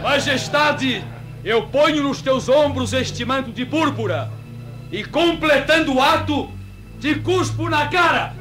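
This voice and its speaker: Roman tormentor, radio drama: very high voice, sneering false reverence, theatrical delivery. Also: male voice, unhurried, over music. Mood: mock reverence. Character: scornful Roman soldier, mock-reverent tormentor. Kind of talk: old-fashioned radio drama acting.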